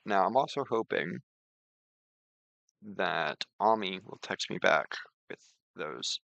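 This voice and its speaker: Low, creaky-voiced man chatting on stream. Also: lively intonation, takes it slowly, pushed and bright, no echo. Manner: rambling, informal explainer. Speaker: streamer and software developer. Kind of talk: casual screen-share walkthrough and chat.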